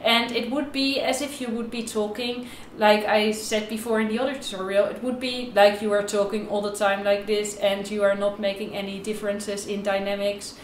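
A woman's voice: medium pitch, flat delivery, moderate pace, few pauses, slight background noise. A young woman giving an instructional violin tutorial to camera in an informal, explanatory way, with slightly non-native phrasing. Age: young adult. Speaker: violin teacher. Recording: roomy echo, violin playing at times.

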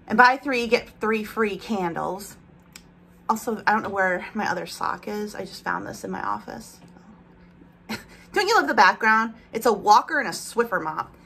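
A woman's voice: mid-range voice, a bit bright, husky. A woman in her thirties chatting casually in a home vlog, rambling while she unboxes products, with a slight lisp from aligners. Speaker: vlogger. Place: bedroom at home, indoors.

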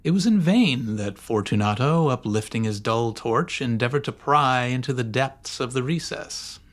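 A man narrating a gothic story as an audiobook, in a measured, theatrical manner. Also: mid-range voice, lively intonation. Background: faint music and sound design.

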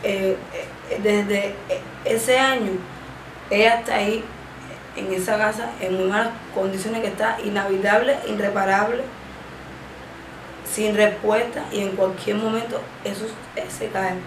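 A young woman in her twenties with a Cuban accent, speaking haltingly with a stammer and many drawn-out fillers while giving personal testimony in an interview. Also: mid-range voice, steady tone. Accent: Cuban Spanish. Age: 20s. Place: small indoor room, seated. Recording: echoey room with background noise.

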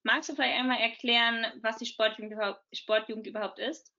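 A woman's voice: fairly high pitch, steady tone, fast talker, sharp tone. A woman in her twenties hosting a podcast over a video call, asking friendly questions. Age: 20s. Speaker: podcast host. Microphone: video call from home. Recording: dull lo-fi video call audio.

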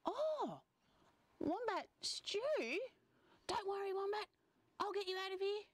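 A woman's voice: very high voice, sing-song, takes it slowly, no room sound, pitched above the performer's own voice, full character voice. A woman with an Australian accent voicing a casual, helpful kangaroo friend in a children's story. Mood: breezy, helpful.

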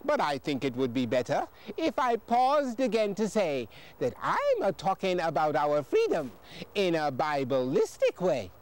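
A fervent old preacher, played by an older man, rouses his flock with a swinging, rhythmic cadence in old Southern dialect. His voice sits high and swoops up and down with great animation. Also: wildly swooping pitch, measured pace. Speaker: poetry performer. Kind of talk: dramatic poetry recitation.

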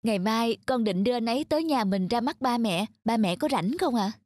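A woman's voice: a little high, lively intonation, fairly quick, a little mellow, close to an everyday voice. A woman voicing a whiny, bubbly cartoon daughter. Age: young adult. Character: lazy, spoiled young woman, cheerful and whiny. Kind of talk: cartoon dub acting. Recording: studio voice-over with background music.

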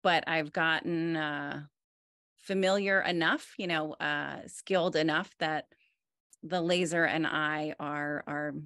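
A woman in her forties with a low, gravelly voice, talking about her work over a video call. She speaks in a relaxed, reflective way, full of restarts and fillers. The sound is dry and close, with no room echo. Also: slow. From United States. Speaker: artist. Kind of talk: conversational interview. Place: home room with wood-panelled wall.

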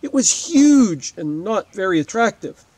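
High-pitched male teacher reading aloud. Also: a little slow, no room sound. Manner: expressive, explanatory reading aloud. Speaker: fourth-grade teacher. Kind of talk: read-aloud lesson for children, with asides. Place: outdoors beside a wetland lake.

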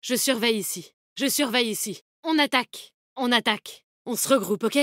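Actress doing a confident outdoorsy fighter girl for a shooter game, bossy but cheeky, highish voice, super dry sound. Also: moderate pace, fairly bright tone, no echo, lightly acted. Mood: brisk, alert. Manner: bold, cheeky, commanding. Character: tough, confident Australian woman fighter, earthy and protective. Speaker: video game voice actor. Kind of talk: video game character voice lines, combat callouts.

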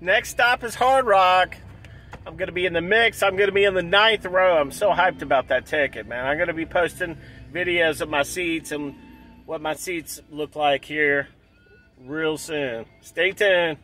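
A man in his forties vlogging from inside a car, talking excitedly in a casual, repetitive way and clearing his throat often. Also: a little high, measured pace, faint music behind, rough recording. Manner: hyped, repeats himself, clears throat often. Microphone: dashboard camera close up.

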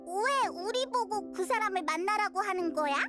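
A woman voicing a tiny, naive, curious fairy girl in a video game, with a cutesy, expressive, childlike delivery. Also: extremely high pitch, normal speed. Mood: puzzled, reluctant. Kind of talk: video game character dialogue. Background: prominent game music.

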